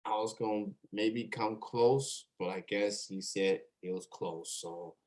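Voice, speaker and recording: A man hosting a casual online interview, talking in a halting way and repeating words. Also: fairly low pitch, darkish tone. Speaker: show host interviewer.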